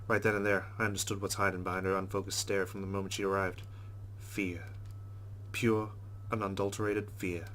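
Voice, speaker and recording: A man with a lowish voice reads a visual novel aloud at a brisk clip. He is expressive and slips into casual commentary as he plays. A faint noise sits under the voice. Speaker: let's play host.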